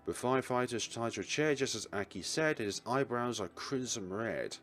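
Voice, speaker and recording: A man's voice: mid-range voice, expressive, brisk, a bit bright, faint music behind. A man reading a visual novel aloud as a let's player, now and then stumbling over words and correcting himself.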